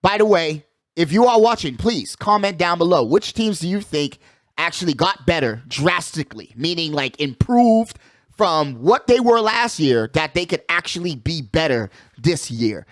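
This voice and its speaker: High-voiced man, lively pitch swings, dry sound, sports show host, slangy, opinionated, emphatic, conversational. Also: moderate pace, no room sound. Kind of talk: casual opinionated sports talk.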